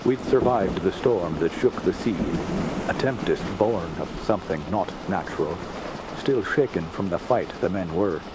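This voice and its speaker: A weary sea captain in a radio drama. His low voice is grim and halting, with oddly inverted phrasing. Loud water and boat sounds surge behind him. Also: animated, very noisy. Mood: haunted, regretful. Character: haunted, weary Scandinavian sea captain recounting a dreadful voyage.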